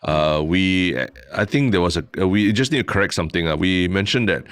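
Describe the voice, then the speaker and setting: A young man with a Singaporean accent co-hosts a casual podcast, winding through a story with restarts and a sprinkling of "lah." His voice sits very low. The recording is dry and close, with no room sound around it. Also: a little fast, clean tone, no echo. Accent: Singaporean English. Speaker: podcast co-host. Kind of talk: casual chat.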